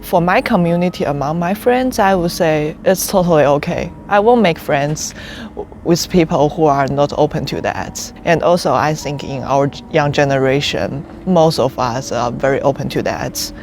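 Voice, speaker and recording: A woman's voice: deep voice, normal speed, darkish tone, slight background noise. Frank young woman from China, casual. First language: Chinese. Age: 20s. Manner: open, frank, with frequent restarts and laughs. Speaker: interviewee. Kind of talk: casual conversational answers. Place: rooftop terrace outdoors.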